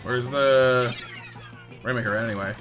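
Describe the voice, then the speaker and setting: A young man with a slightly raspy voice from a recent cold, hosting a casual live game stream with viewers and often stammering over repeated words. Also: mid-range voice, steady tone, normal speed, over music. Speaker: livestream gaming host.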